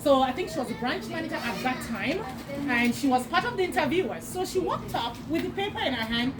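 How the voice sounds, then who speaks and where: A woman from Nigeria sharing her personal story as a bank employee at an outdoor networking event, animated and laughing often. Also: high voice, brisk. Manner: animated, laughing often, acts out quoted voices. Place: outdoor park. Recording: noisy outdoor recording.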